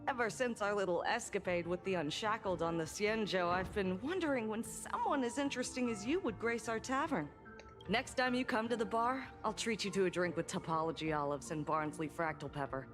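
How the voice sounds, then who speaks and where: A woman's voice: mid-range voice, a little fast, over music, close to an everyday voice. A woman voicing a witty, teasing female doctor in a video game, playful, knowing and theatrical. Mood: playful welcome.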